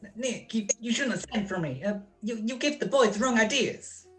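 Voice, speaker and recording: Woman acting a high, stammering, cowardly stool pigeon. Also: expressive, moderate pace, soft background music, natural voice. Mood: nervous, evasive. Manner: stammering, pleading, slangy. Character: nervous, cowardly male underworld stool pigeon. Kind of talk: old radio crime drama acting. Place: recorded at home over a video call.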